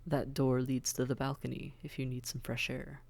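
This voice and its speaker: A suave fallen-angel demon prince, acted by a man for an intimate audio drama. The voice is breathy with a heavy fry and often sinks toward a whisper. He coaxes and teases in formal words, keeping his pitch level and controlled. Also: monotone, lightly acted. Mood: teasing, courteous. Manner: unhurried, teasing, coaxing, formal wording.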